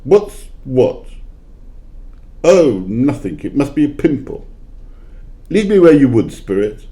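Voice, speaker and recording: Man acting a wistful old miser, swooping pitch. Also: very animated, slow, warm tone. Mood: defensive. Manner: exclamatory, emotional. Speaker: amateur library staff actor. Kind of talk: audio play acting.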